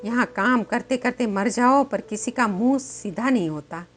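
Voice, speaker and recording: A woman's voice: on the high side, normal speed, soft background music, natural voice. A woman voicing overworked village housewives in a story, grumbling and complaining in a gossipy manner.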